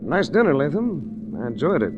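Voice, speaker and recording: A man's voice: a little high, big pitch swings, moderate pace, muffled recording, loud music behind the voice. An actor playing a tough investigator who narrates his own case with dry, hard-boiled wit in 1940s radio style. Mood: dry, businesslike. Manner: hard-boiled, dryly witty first-person storytelling. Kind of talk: 1940s radio detective acting. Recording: restored old radio with hiss.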